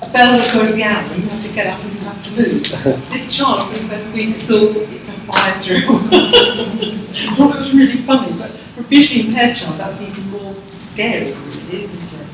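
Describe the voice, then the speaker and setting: A woman in the audience, a teacher, chatty and animated as she tells an anecdote in a conference hall. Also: medium pitch, normal speed, background noise. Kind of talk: telling an anecdote. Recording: echoey hall, distant mic.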